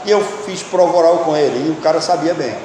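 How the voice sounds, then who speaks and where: A high-pitched man preaches in a teaching style, turning to the congregation with questions. His voice rings through a big hall with a long reverb tail, and a faint hiss sits underneath. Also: fast talker, mellow. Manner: teaching, asks the listeners questions. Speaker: pastor and Bible teacher. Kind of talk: sermon.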